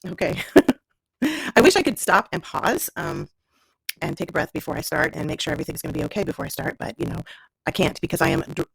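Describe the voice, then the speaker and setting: A woman's voice: on the low side, wildly swooping pitch, brisk, vocal fry, long pauses, a bit bright, breathy edge, no room sound, rough recording. A woman podcaster and author chatting informally at home, with a self-deprecating, digressive way of talking. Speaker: author and podcast host reading own story. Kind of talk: casual rambling chat, then read-aloud storytelling. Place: at home in a lived-in room.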